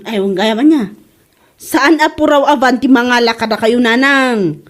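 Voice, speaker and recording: A put-upon young wife acted by a woman with a high voice and a Filipino accent. She talks back to her mother-in-law with sassy, exclaiming complaints, in radio comedy style. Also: a little slow, natural voice. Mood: sly, sassy. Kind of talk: Ilocano comedy radio drama acting.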